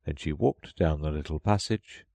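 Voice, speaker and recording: A man narrating a children's audiobook in a gentle, expressive storybook read. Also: very deep voice, moderate pace, creaky, no echo.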